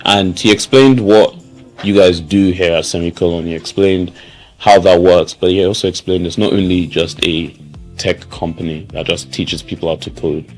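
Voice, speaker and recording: Low-voiced young man, loose and chatty. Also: moderate pace, soft background music, low-quality recording. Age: young adult. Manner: relaxed, many fillers and restarts. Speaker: student. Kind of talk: interview answers.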